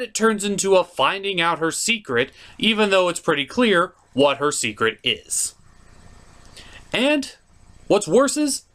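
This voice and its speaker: A man's voice: fairly high pitch, pushed and bright. A young man hosting a comedic cartoon review in a theatrical, jokey, opinionated way.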